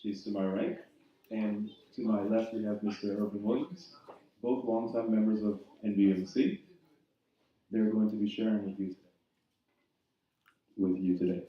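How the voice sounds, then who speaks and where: A man leading prayer and interviewing a church member, friendly and brisk. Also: fairly low pitch. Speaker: service moderator and interviewer. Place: church sanctuary. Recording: echoey room from far off, dull.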